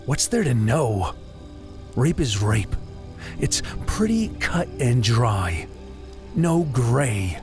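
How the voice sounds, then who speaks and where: A man's voice: medium pitch, very animated, drawn-out words, pauses often, over music. A man acting a blunt, protective young man in a dramatized audiobook, terse and insistent.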